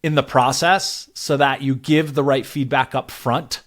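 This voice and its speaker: A man's voice: mid-range voice, fairly even intonation, unhurried, a bit bright. A man speaking as an interview guest, explaining work ideas conversationally and often checking in with "right?". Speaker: interview guest, product and growth leader.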